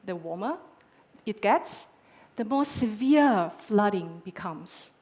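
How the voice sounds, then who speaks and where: A woman in her thirties from Malaysia, a climate scientist giving a rehearsed pitch on a conference stage with dramatic, measured pauses. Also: animated, darkish tone.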